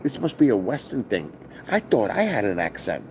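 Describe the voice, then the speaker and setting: A loud-voiced middle-aged man, streaming casually from his phone. His voice sits a little low but swings widely in pitch as he tells a profane, rambling story. A faint hum of background noise sits under him. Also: wildly swooping pitch, moderate pace, rough recording. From New York. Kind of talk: casual live-stream vlog. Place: hotel, indoors.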